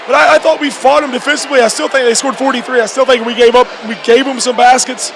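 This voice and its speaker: A man, a high school basketball coach, giving a postgame radio interview with repeated phrases and halting restarts. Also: fairly even intonation. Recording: noisy gym with loud crowd behind. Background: crowd and clear background noise.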